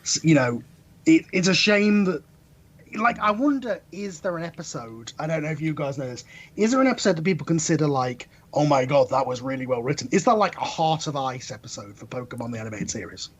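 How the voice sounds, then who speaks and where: A man's voice: a little high, animated, a little fast, husky, muffled recording. A man speaking as a podcast guest, giving analytical, wry opinions in casual discussion.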